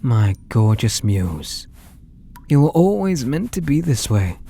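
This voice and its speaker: A man with a British accent playing an obsessive, possessive painter in an intimate ASMR roleplay, breathy and doting with an undertone of menace. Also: medium pitch, big pitch swings, slight background noise, heavily acted. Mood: intense devotion. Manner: slow, breathy, doting, menacing murmur with soft whispers. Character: obsessive, possessive male painter doting on his captive muse.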